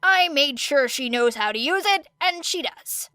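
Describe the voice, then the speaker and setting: A woman's voice: sits high, lively intonation, unhurried, sharp tone, recorded from a distance, pitched above the performer's own voice, full character voice. A woman voicing a harried male workshop teacher, blunt and defensive, in an audiobook story. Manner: blunt, put-upon, defensive.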